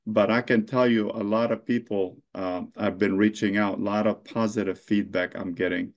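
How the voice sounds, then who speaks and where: A middle-aged man born in Lebanon, a mayor answering interview questions in a halting way, full of fillers and restarts. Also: on the low side, fairly even intonation.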